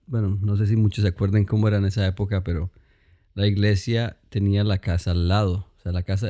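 A man's voice: lowish voice, mellow. A man in his thirties from Colombia with a Mexican-influenced Spanish accent, telling his story casually as an interview guest, with frequent fillers and restarts. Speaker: guest, music producer.

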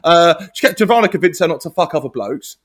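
A man's voice: fairly high pitch, lively intonation, fast talker. A man in casual comedy chat, sweary and rambling, laughing often and restarting his sentences.

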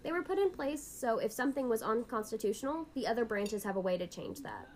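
A woman's voice: on the high side, expressive, fast, a little mellow. A woman hosting an educational podcast in a scripted, instructive explainer style. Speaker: podcast host.

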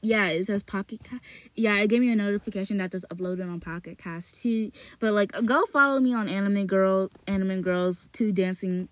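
A woman reading a novel aloud slowly on her podcast, then rambling in casual chat, repeating words with many fillers and restarts, recorded in a small closet. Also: mid-range voice, fairly even intonation, no room sound.

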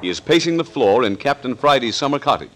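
A man narrating a suspense serial as announcer, with a dramatic, deliberate 1940s radio delivery. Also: medium pitch, lively intonation, a bit bright, slight background noise, natural voice.

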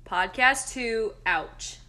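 A woman's voice: a little high, drawn-out words, sharp tone. A woman in her twenties co-hosting a podcast in casual banter, with a giggly, jokey, self-mocking manner. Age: 20s. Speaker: podcast co-host. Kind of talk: casual banter between friends.

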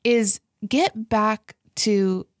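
A young woman co-hosting a family faith podcast in casual chat, earnest and quick to laugh. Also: fairly high pitch, dry recording, studio quality. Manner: warm, laughs often, earnest about faith. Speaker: podcast co-host.

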